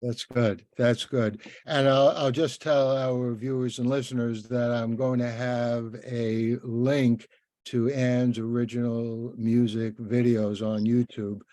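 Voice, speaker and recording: A man's voice: medium pitch, flat delivery, slow pace, mellow, no room sound. An older man hosting a conversational interview, talking in a rambling, anecdotal way.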